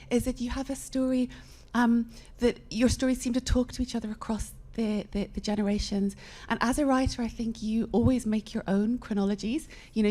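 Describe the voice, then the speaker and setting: A woman's voice: on the high side, a little mellow. A woman author, speaking earnestly and reflectively in a live literary panel conversation.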